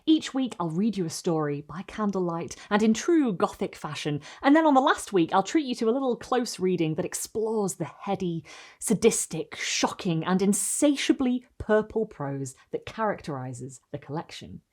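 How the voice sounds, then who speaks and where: A woman hosting and reading literary stories aloud in an expressive, theatrical style with deliberate phrasing. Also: medium pitch. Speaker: host and reader of a literary video series. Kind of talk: dramatic storytelling, scripted narration.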